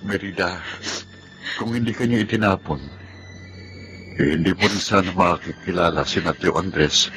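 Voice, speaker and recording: An actor playing a gentle, consoling young man and dutiful son in a Tagalog radio drama. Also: low-pitched, moderate pace, noisy background, natural voice.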